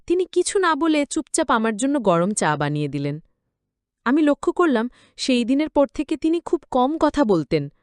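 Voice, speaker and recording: A woman's voice: high voice, very animated, normal speed, no echo, clean studio sound. A woman reading a scripted, emotional story as its narrator.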